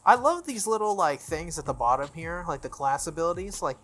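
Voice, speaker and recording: A video game player reading text aloud and commenting casually, with a slightly halting delivery and stumbles. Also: medium pitch, lively intonation, fairly bright tone. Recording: music behind the voice. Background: background game music.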